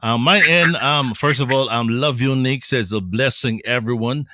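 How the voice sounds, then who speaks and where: A man's voice: mid-range voice, moderate pace, pushed and bright, dry recording, studio quality. A male radio co-host in casual live chat, reading out greetings from listeners.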